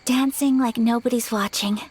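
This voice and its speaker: A woman playing a gentle, earnest young noblewoman in a video game, speaking softly and tenderly in a measured way. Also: on the high side, steady tone, husky. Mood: wistful, warm. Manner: soft, measured, tender delivery. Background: background music.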